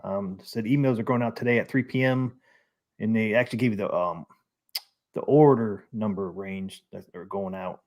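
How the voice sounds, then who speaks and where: A man's voice: fairly low pitch, normal speed, a little mellow. A man hosting a casual live stream, chatting and reading out viewer comments. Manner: easygoing, reads out viewer comments.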